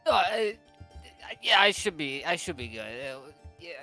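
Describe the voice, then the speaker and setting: A man's voice: a little high, sing-song, normal speed, bright, forceful tone, soft background music. Stammering man playing in a tabletop game. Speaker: player. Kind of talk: improvised tabletop roleplay.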